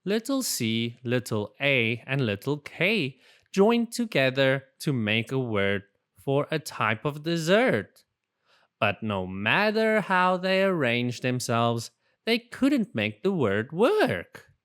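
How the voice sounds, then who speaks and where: A storybook narrator reads for young children with very lively intonation. The pace is slow and deliberate, and the voice is smooth and clear, made for expressive storytelling. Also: medium pitch. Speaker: storybook reader. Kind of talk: animated children's read-aloud narration.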